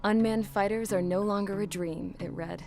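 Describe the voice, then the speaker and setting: A woman's voice: mid-range voice, steady tone, moderate pace, over music, close to an everyday voice. A woman playing a plain-spoken, gutsy young pilot and mechanic, narrating her own story in a scripted game. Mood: wistful, matter-of-fact. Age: young adult.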